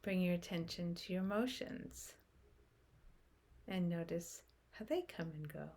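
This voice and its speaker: A woman leading a guided meditation in a gentle, unhurried manner, speaking in short phrases with long pauses. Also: lowish voice.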